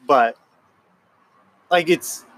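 A relaxed man in his twenties weighs ideas out loud in short, thoughtful remarks. His voice is a touch high for a man, with faint noise behind it. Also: drawn-out words. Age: 20s. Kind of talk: casual chat.

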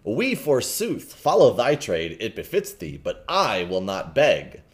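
Man voicing a haughty boy king, proud, storybook talk, middle pitch. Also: wildly swooping pitch, unhurried. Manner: old-fashioned storybook speech.

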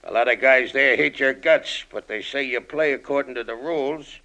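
An actor playing a hard, tired old American ex-convict in an old-time radio crime drama, talking tough underworld slang with menace. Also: male voice, medium pitch, normal speed, pushed and bright, highs cut off. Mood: weary, resigned. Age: older.

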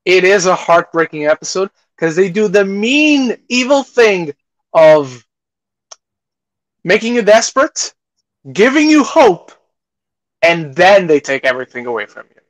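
A man's voice: sits high, big pitch swings, unhurried, long pauses, no room sound, rough recording. A man co-hosting a podcast, chatting casually about movies and television. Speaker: podcast co-host.

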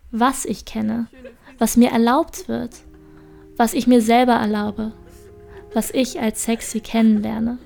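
Earnest woman over soft music. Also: fairly high pitch, creaky. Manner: earnest, reflective, repetitive. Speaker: performer. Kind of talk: spoken monologue in an audio drama.

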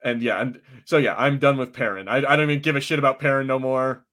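A man's voice: medium pitch, fairly even intonation, fast talker, fairly bright tone. A man co-hosting a podcast, chatting casually and informally about TV, with some swearing. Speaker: podcast co-host. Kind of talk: casual TV review chat.

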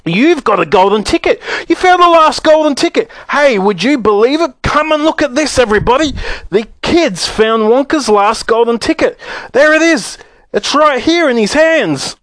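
A man voicing a loud, excitable fat shopkeeper, exclaiming in a children's audiobook. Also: very high-pitched, moderate pace, dry recording, pitched above the performer's own voice. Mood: wildly excited. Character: fat, pleasant shopkeeper turning wildly excited, shouting man.

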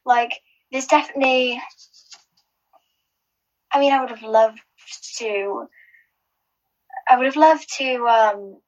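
A woman's voice: fairly high pitch, slow pace, long pauses, muffled recording. A woman who is a finalist guest, chatting casually, rambling with lots of restarts and giggles. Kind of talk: casual chat.

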